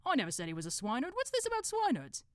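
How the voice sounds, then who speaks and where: A woman's voice: sits high, wildly swooping pitch, racing, dry recording, studio quality, voice pushed up. A woman voicing a chatty, know-it-all man in a secret brotherhood, rambling in colloquial speech for a storybook. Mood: irritated. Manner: colloquial, rambling, matter-of-fact.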